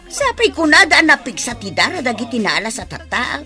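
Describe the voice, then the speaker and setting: A woman's voice: high-pitched, sing-song, normal speed, pushed and bright, soft background music, heavily acted. A woman playing a catty, fault-finding middle-aged auntie in a radio drama, snippy and exclamatory, with an Ilocano Filipino accent. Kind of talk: Philippine radio drama acting.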